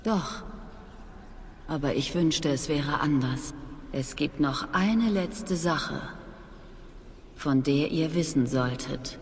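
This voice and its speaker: An actress playing a proud, aloof young witch in a video game, with measured, formal, disdainful speech. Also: deep voice. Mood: wistful. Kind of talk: dramatic video game dialogue. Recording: long reverb, noisy game ambience.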